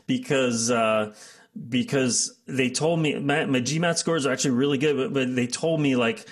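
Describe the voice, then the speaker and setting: A man's voice: mid-range voice, a little slow. A middle-aged man, telling his career story as an interview guest, full of fillers and restarts. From United States. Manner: rambling, many fillers and restarts, laughs often.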